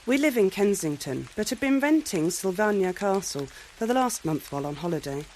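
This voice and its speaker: A woman's voice: medium pitch, very animated, normal speed, a little mellow, lightly acted, poor audio. An actress playing a bold, haughty well-off woman in her mid-twenties in an audio drama, forthright and formal. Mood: forthright, self-assured. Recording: light rain noise behind.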